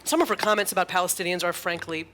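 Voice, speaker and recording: A woman's voice: on the low side, fast talker, a bit bright, slight background noise. A woman rabbi asking a guest a long question, searching for words with many "you know"s.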